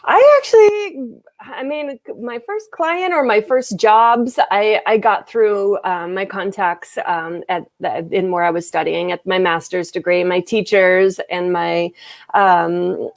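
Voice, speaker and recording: A woman's voice: mid-range voice, slow pace, vocal fry, dry recording. A woman translator and interpreter answering interview questions in a casual, hesitant way, with many fillers and restarts over a video call.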